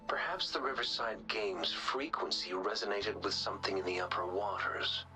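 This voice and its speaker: A low, measured male actor's voice as a polite researcher in a video game. He explains things analytically and calmly, with clear background music playing under him. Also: natural voice, low-quality recording. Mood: calm, cautious. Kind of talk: scripted video game dialogue. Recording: music behind the voice.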